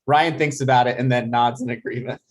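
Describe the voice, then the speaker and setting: A man's voice: medium pitch, fairly even intonation, fairly quick. A man narrating stage directions from a script at a comedy table read.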